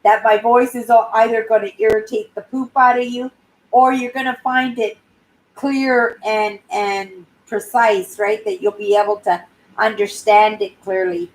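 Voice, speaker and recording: A woman in her sixties from Canada, chatting casually on her live stream in a clear, high-pitched voice and often ending sentences with "right?". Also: level tone, a little slow, rough recording. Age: 60s. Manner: rambling, tags sentences with "right?". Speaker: YouTube channel host.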